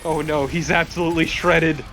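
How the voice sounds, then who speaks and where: A man's voice: fairly high pitch, steady tone, moderate pace, fairly bright tone, soft background music. A man casually co-commentating on gameplay, exclamatory and prone to swearing. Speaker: let's play co-commentator. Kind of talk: casual chat over gameplay.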